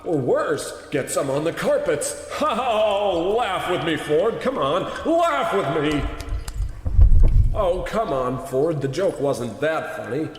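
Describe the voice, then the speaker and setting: A man's voice: a little high, very animated, slow pace, roomy sound, in a large hall, faint music behind. A man playing a pompous, self-absorbed animation tycoon in a comedy radio play. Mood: blustering.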